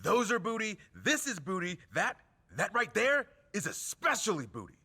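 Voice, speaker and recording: A man's voice: high-pitched, huge pitch swings, moderate pace, bright, forceful tone, breathy edge, full character voice. A man playing a brash, mocking wrestler and gamer in a video game, with emphatic, taunting, theatrical delivery. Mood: mocking, indignant. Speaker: rival wrestler who hosts a gaming show. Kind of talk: scripted video game cutscene acting.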